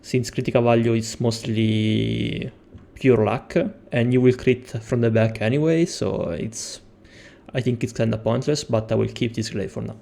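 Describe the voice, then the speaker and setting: A young man from Italy with an Italian accent, explaining a game build step by step as a tutorial maker, pausing often with fillers. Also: mid-range voice, steady tone, creaky, mellow. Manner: explains step by step with frequent hesitations. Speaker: game guide creator. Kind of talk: gameplay tutorial.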